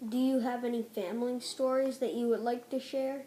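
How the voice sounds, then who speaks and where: A woman's voice: a little high, fairly even intonation, normal speed, mellow. A female interviewer reading out prepared questions in a plain, hesitant way. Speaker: interviewer for a school project.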